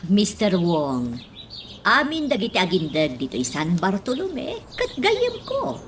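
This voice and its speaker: A woman's voice: mid-range voice, wildly swooping pitch, takes it slowly, noisy background. A woman acting as a polite, emotional town leader in an Ilocano radio drama. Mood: polite, wary.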